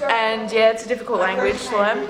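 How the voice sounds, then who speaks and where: Schoolgirl, slightly high voice. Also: fairly even intonation, fast talker. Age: teenager. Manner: casual, hesitant fillers. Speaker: student interviewee. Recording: echoey classroom with chatter.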